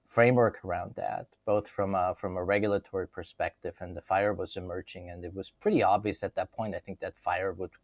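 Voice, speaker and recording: A middle-aged man from Austria speaks in a fairly low voice, thinking aloud and restarting his phrases as he goes. The sound is very dry and close, with no room around it. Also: steady tone, brisk, few pauses, no echo. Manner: thoughtful, restarts phrases often. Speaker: CEO guest. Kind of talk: conversational interview.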